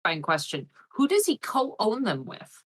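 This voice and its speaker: A woman's voice: medium pitch, huge pitch swings, measured pace, slightly rough. A woman plays along as a contestant on a comedy podcast. She trades dry, wry quips with the others. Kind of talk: casual comedy podcast chat.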